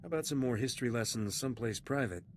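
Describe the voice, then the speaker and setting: A man voice-acting a cocky, flirtatious smuggler captain in a video game, confident and smooth. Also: medium pitch, fast, a little hiss or hum, close to an everyday voice.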